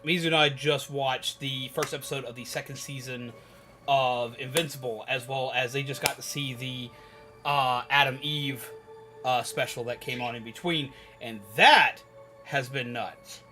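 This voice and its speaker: A man livestreaming, chatting casually and rambling to viewers in an animated, emphatic, opinionated way. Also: medium pitch, measured pace, music in the background. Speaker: live streamer. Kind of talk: casual rambling chat with viewers while gaming.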